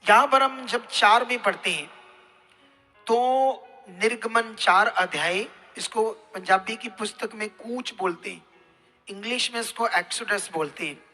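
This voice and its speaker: A man's voice: high-pitched, unhurried, pushed and bright. A man preaching a sermon to a congregation, speaking emphatically and putting questions to his listeners. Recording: hall reverb, music behind.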